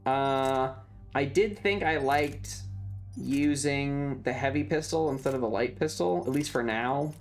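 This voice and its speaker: A woman's voice: very deep voice, unhurried, soft background music. A woman giving casual gameplay commentary as a streamer, offhand and thinking aloud. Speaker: game streamer.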